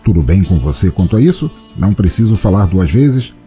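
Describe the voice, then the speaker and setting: A man's voice: deep voice, big pitch swings, a little fast, mellow, faint music behind. A Brazilian man hosting and narrating a podcast from a script, with a wry, theatrical manner.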